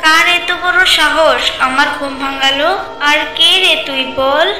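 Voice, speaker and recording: A woman's voice: sits high, steady tone, unhurried, sharp tone, exaggerated voice. A woman voicing the vengeful ghost of a murdered mother in a dramatic, menacing cartoon folk-tale style. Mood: angry, menacing. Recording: echoey, music underneath.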